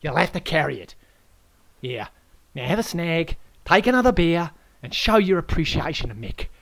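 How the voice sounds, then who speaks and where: A man's voice: high-pitched, big pitch swings, moderate pace, fairly bright tone, no room sound, voice pushed up, heavily acted. A man voicing a blustering host who swears and mocks his guest. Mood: indignant. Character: loud brash Australian male host, bullying.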